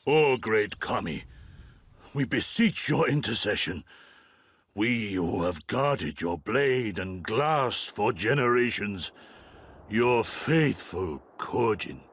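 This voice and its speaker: An actor playing a reverent turtle-folk warrior priest in a game, with a rough voice and formal, declamatory delivery. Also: male voice, on the low side, lively intonation, slow, fairly bright tone, no echo, exaggerated voice. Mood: reverent, fervent. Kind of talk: theatrical game cutscene acting.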